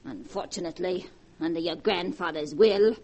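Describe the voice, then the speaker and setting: An actress playing a rich, sharp-tongued old grandmother who is dying, with a dry, cutting, sarcastic delivery in 1940s radio acting. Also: on the low side, moderate pace. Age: older.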